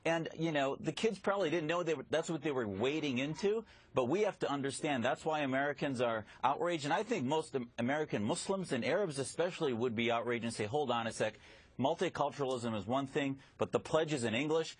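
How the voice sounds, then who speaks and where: Emphatic husky-voiced man, native Arabic speaker. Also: mid-range voice, expressive, brisk, no echo, rough recording. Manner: emphatic, argumentative. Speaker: guest commentator. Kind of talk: live TV news interview.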